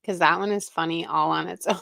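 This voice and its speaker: A woman's voice: fairly low pitch, steady tone, a little fast, gravelly, a bit bright, no echo. A woman giving casual, wry reaction commentary on a video, with critical asides. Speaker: reaction streamer.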